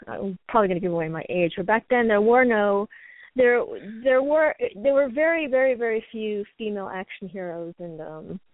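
An American woman, a native Chinese speaker, giving a conversational interview as a call-in guest, rambling haltingly and often repeating words. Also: mid-range voice, no room sound. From New York, United States. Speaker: guest, actress and martial artist. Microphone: telephone call. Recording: dry, narrow phone line.

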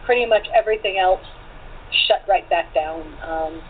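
A woman's voice: medium pitch, normal speed. A woman with an American accent, chatting casually as an interview guest. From Portland, Oregon, United States. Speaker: radio play cast member being interviewed. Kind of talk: casual chat. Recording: tinny phone line with faint noise.

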